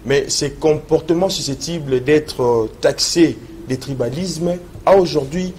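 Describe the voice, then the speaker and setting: A man's voice: a little high, measured pace, darkish tone, slight background noise. A man, hosting a TV debate and probing guests with questions. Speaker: host, interviewer. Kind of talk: TV debate moderation.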